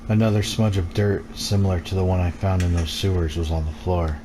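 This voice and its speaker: A man's voice: sits low, flat delivery, fairly quick. A man reading the text of a horror game aloud as scripted storytelling while streaming it. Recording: clear background noise.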